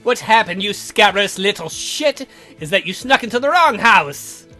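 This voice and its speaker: A man voicing a dry, sardonic vampire count who speaks deliberately, with mock-polite menace, for a visual novel game. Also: high voice, expressive, sharp tone, faint music behind. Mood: menacing.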